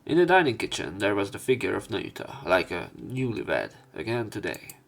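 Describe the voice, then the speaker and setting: A man reading visual novel text aloud as a let's play narrator, in a somewhat halting manner. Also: on the low side, very animated, vocal fry. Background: game music.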